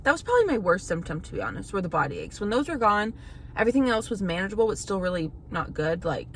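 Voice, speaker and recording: A woman in her thirties, slightly hoarse from illness, chatting casually to camera at home, rambling with lots of fillers and restarts. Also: medium pitch, very animated, quick pace, a bit bright. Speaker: vlogger. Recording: faint background noise.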